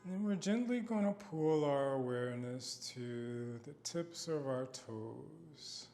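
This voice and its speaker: Gravelly-edged man guiding a meditation. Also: medium pitch, expressive. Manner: gentle, unhurried, with long pauses. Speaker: meditation guide. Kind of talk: guided body scan meditation.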